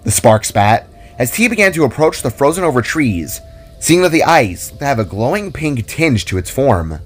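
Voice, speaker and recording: A man's voice: medium pitch, very animated, normal speed. A man reading a scripted fantasy story as narrator in a dramatic style, with faint music behind.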